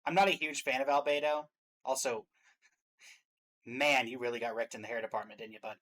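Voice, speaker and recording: A man hosting a casual reaction and ranking chat, opinionated, with emphatic swells that trail off quieter. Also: medium pitch, fairly even intonation, a little fast. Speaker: reaction show host.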